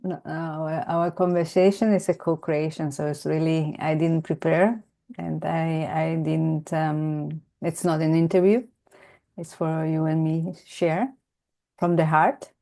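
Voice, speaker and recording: Slow, mellow-voiced woman host. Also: low voice, little pitch movement, vocal fry. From Venezuela. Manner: unhurried, reflective, affirming. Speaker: podcast host. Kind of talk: casual conversation.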